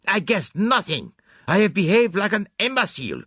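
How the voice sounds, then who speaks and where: A man voicing an excitable, theatrical foreign detective, emphatic and dramatic, in an audiobook. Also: medium pitch, moderate pace, breathy edge, dry recording, clean studio sound, pitched above the performer's own voice. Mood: furious, despairing. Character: excitable, theatrical foreign male detective, fastidious and self-reproaching.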